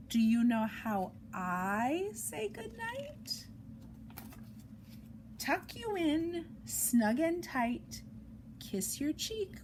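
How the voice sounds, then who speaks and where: A woman reads a picture book aloud to young children in an expressive, sing-song way, adding chatty asides, on an amateur home recording. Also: sits high, a little hiss or hum. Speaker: storytime reader for young children.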